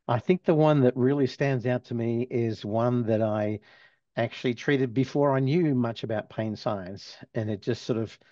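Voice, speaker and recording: A man in his sixties from Australia, with an Australian accent worn toward American, relaxed and storytelling as an interview guest, with soft chuckles. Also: mid-range voice, moderate pace, dry recording. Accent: Australian, softened toward American. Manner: relaxed, chuckles while telling stories. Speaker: physical therapy professor, guest. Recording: dull lo-fi sound.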